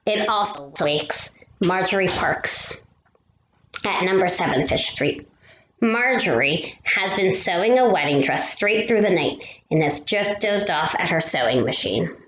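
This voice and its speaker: A woman reading a children's storybook aloud expressively, with animated, drawn-out emphasis. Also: on the low side. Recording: roomy echo with faint noise.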